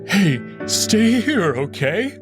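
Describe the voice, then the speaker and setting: A man's voice: high voice, very animated, drawn-out words, pitched above the performer's own voice, heavily acted. A man voicing a grieving young male wolf who pleads desperately with a dying loved one, over music. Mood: pleading. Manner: pleading, choked with grief.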